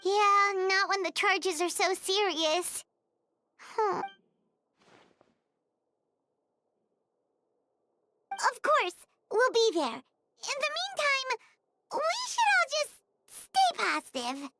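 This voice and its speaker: A woman voicing a small, earnest, childlike fairy companion in a video game, with a squeaky, expressive delivery. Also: slow pace, background noise, exaggerated voice. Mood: concerned, encouraging.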